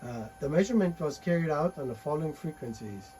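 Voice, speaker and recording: A man presenting a technical talk on radio and earthquakes, pausing often with fillers and restarts. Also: on the high side, lively intonation, dark tone, a little hiss or hum, low-quality recording. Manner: halting, many fillers and restarts.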